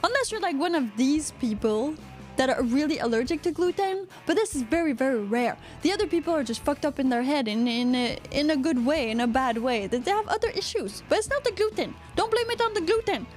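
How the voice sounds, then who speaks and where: A woman's voice: sits high, lively intonation, a little fast, darkish tone, faint music behind. A woman from Quebec with a Quebecois accent, co-hosting a casual live podcast, rambling and joking as she reads out chat comments. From Quebec, Canada. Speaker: podcast co-host.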